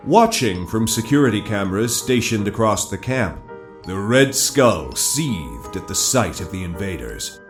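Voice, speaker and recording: A man's voice: fairly low pitch, big pitch swings, a little slow, music in the background. A man narrating a cinematic audio drama in a dramatic, scripted storytelling style.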